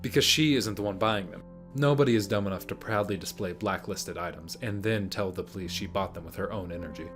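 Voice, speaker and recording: A man playing an earnest, analytical monk detective in an amateur sci-fi audio play, reasoning in a measured way. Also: sits low, natural voice. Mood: earnest, explanatory. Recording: music under the voice.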